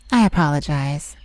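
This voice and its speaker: A woman's voice: low-pitched, dry recording. A sassy male forest fae. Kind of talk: ASMR roleplay.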